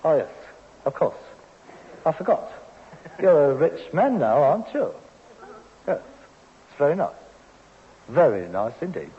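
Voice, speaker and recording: A man's voice: a little high, big pitch swings, unhurried, pauses often, in a large hall. A man acting a resentful, sarcastic rag-and-bone man with a London accent in an old British radio sitcom. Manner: sarcastic, mock-impressed. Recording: noisy, dull vintage radio recording.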